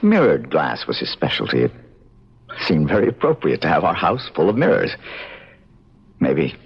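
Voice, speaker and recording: An actor playing a haunted, bitter man in an old-time radio drama, brooding and deliberate, with dramatic pauses. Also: a bass voice, huge pitch swings, vocal fry, breathy edge, full character voice. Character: haunted, bitter man traumatised by his parents' past. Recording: dull lo-fi vintage radio broadcast.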